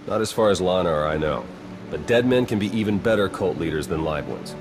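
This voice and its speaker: An actor playing a wry, practical spy in a video game, talking in a casual, dry, matter-of-fact way. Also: male voice, sits low, lively intonation, brisk, over music. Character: wry, confident male Republic spy, practical and brisk.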